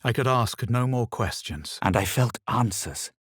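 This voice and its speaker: A man acting as a self-assured, superior fantasy adventurer in a video game, with measured, dramatic delivery. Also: mid-range voice, animated, slightly rough. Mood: solemn narration. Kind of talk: scripted video game voice lines, first-person narration.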